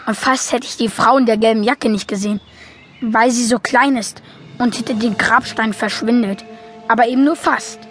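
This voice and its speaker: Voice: medium pitch, fairly even intonation, moderate pace, a bit bright, soft background music, close to an everyday voice. A young performer playing a naive boy who narrates a German film audio drama in a simple, matter-of-fact way. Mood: observant.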